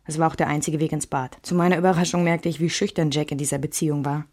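A woman's voice: sits low, flat delivery, quick pace, darkish tone. An actress playing a reflective, restrained woman recalling her married life in a scripted radio drama.

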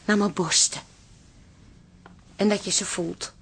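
An actress playing a frank, headstrong wife with a tender side in a Dutch radio play, direct and insistent. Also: female voice, medium pitch, steady tone, slow pace, husky, heavily acted.

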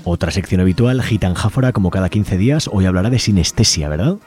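Male radio host, very low and warm voice, easygoing delivery, faint music bed. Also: fast talker. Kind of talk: community radio program presenting.

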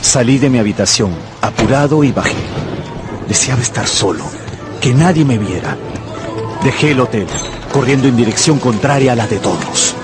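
An actor playing an anxious gambler who narrates his own ruin in a radio novel, brooding and intense, with dramatic pauses. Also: medium pitch, lively intonation, music in the background, lightly acted. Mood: dejected, urgent.

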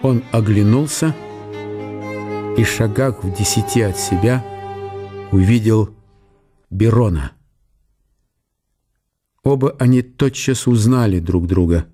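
A man's voice: lowish voice, slow, long pauses, warm tone, soft background music. A man narrating a historical radio play as dramatic literary storytelling.

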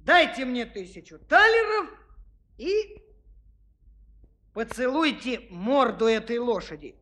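An actor playing a polite but shrewd young shepherd in a children's audio play, simple and deferential in manner. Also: male voice, very high-pitched, sing-song, slow, sharp tone, heavily acted. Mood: shrewd, firm.

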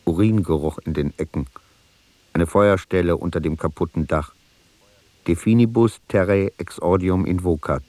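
An actor playing a solitary traveller, giving terse, fragmentary, observational journal notes in a radio play. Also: male voice, sits low, normal speed, darkish tone, a little hiss or hum, close to an everyday voice. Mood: detached, descriptive. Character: solitary male traveller noting a desolate cape in his journal.

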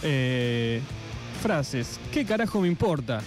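A man's voice: medium pitch, very animated, takes it slowly. A man with a Buenos Aires Argentine accent chatting casually as a radio co-host. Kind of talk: casual late-night radio chat. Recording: prominent music behind the voice.

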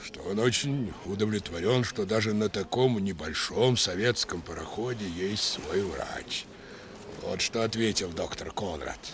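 An actor playing a village man who retells gossip with solemn, deliberate emphasis in a 1960s radio drama. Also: fairly low pitch, slight background noise, natural voice.

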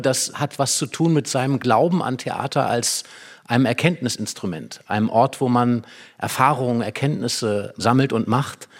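A man speaking as an expert guest in a stage conversation, explaining reflectively and choosing phrases carefully with pauses. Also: medium pitch. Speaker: expert guest, dramaturg and writer. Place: hall with a live audience.

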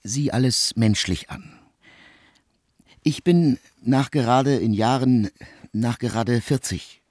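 A man playing a reserved, lonely composer of about forty in a radio drama, speaking formally and haltingly, as if confiding. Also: mid-range voice, darkish tone, husky, no room sound. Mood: hesitant confession.

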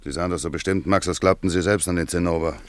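Male actor for scornful skeptic: low, grumbling, mocking, impatient, vintage radio play. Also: fast, no echo, lightly acted. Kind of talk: German radio play acting. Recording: noisy vintage radio drama recording with clicks.

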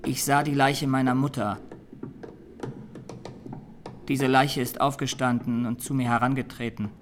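An actor playing a young man on trial in a radio drama, haunted and resolute, speaking in plain, earnest, deliberate statements. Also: medium pitch, little pitch movement, a little hiss or hum, lightly acted. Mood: haunted, grave. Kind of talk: German radio drama, courtroom testimony.

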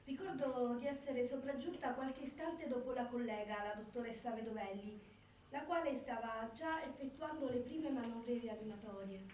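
Actress as a high-voiced, clinical woman doctor testifying. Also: darkish tone, lightly acted. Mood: sober, measured. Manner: measured, clinical, formal. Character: sober female prison doctor giving formal testimony. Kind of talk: formal testimony monologue on stage. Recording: roomy theatre, distant mic, faint noise.

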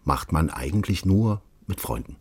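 An actor playing a secretive, quietly menacing official in a radio crime drama, measured, formal and insinuating. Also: male voice, sits low, lively intonation, slightly rough, full character voice. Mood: controlled, secretive. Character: smooth-mannered, menacing, secretive official recruiting a detective.

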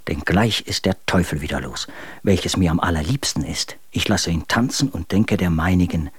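An actor playing a bitter, tormented young husband and poet, reading confessional letters in a German radio drama. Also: male voice, deep voice, normal speed, husky, no echo. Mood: sardonic.

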